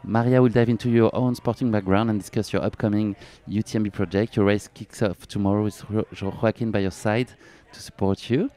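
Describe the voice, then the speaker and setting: A male podcast host with a fairly low voice. He leads the chat in a friendly way and often pauses on "uh" and "um" between phrases. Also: steady tone, warm tone. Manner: friendly, many filler pauses. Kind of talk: interview chat. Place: pop-up store at a race event.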